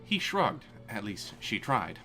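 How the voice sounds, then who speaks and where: Casting: male comedic story reader, mid pitch, animated intonation, irreverent, loose live feel, music underneath. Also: takes it slowly. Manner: irreverent, breaks into swearing and amused asides. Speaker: reader of fan fiction.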